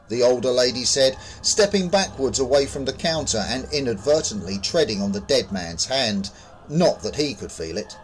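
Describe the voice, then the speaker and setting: A man's voice: mid-range voice, rough recording. A man narrating his own short horror story as a scripted reading.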